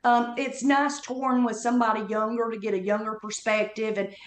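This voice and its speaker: Chatty Southern woman comedian in her fifties, Appalachian accent, slightly high voice, roomy sound. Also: level tone, a little fast. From North Carolina Appalachians. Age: 50s. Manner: chatty, perky storyteller. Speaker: comedian guest. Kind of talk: casual podcast chat.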